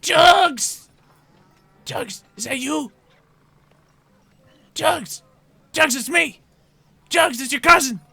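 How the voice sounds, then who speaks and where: A man voicing a pleading, excitable imprisoned goblin in a tabletop game, shouting insistently. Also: extremely high pitch, drawn-out words, creaky, long pauses, dry recording, pitched above the performer's own voice, heavily acted. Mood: frantic, excited.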